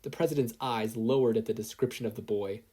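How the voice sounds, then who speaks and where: A narrator reading a scripted fan fiction story in an expressive way. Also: medium pitch, fast talker, husky.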